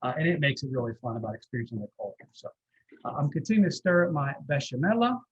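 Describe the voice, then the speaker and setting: A man's voice: medium pitch, very animated, fast talker, slightly rough, lo-fi sound. A man giving a live cooking demonstration as guest chef, explaining step by step in a folksy, reassuring, conversational way.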